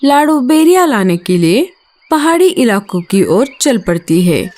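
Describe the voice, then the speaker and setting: A woman's voice: a little high, sing-song, a little slow, a little mellow. A woman storyteller reading a scripted children's story.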